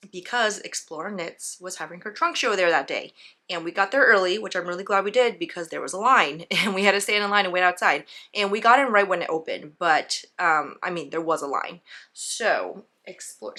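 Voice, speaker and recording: A woman hosts a casual knitting vlog from home, her voice still hoarse as it recovers. She sits slightly low in pitch with a bright, forward tone. She chats loosely and stops often to clear her throat. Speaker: knitting video host.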